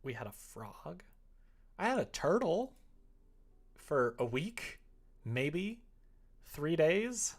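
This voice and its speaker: A man's voice: fairly high pitch, sing-song, slow pace, long pauses. A man live-streaming, chatting casually and easily with viewers in a rambling way, reading out their comments as he goes.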